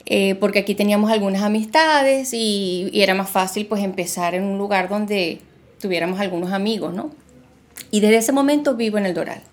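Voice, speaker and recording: Woman, mid-range voice, easy chat, fillers. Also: medium pitch. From Argentina, raised in Venezuela. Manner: relaxed, halting with fillers. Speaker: podcast co-host, realtor. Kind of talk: casual podcast conversation.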